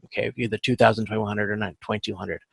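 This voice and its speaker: A man giving an informal online lecture as an instructor, talking in a halting, self-correcting way and often checking in with the listener. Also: on the low side, steady tone, vocal fry, slightly rough, dry recording. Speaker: instructor teaching supply chain management. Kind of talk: informal lecture walking through spreadsheet calculations. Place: online video conference with screen share.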